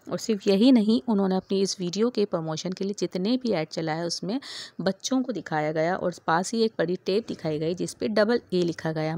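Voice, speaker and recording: A woman's voice: on the low side, darkish tone, dry recording. A woman narrating a vlog voice-over in an earnest, preachy storytelling manner, reading steadily with religious warnings. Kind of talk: read-aloud voice-over narration.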